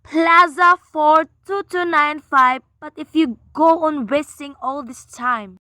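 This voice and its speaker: A high, bright, agitated society wife played by a woman in a radio thriller. She is imperious and demanding, pressing her urgent case with indignation, recorded very dry and close. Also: slow pace, no echo, full character voice. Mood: agitated, impatient. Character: frantic, imperious wealthy married woman reporting a murder plot. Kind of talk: radio play acting, suspense thriller.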